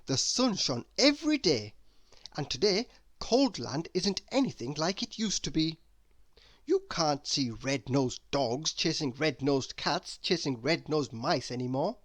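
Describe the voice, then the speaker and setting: A narrator reading a children's story aloud in an expressive, scripted storytelling style. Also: mid-range voice, no echo. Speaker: storybook reader.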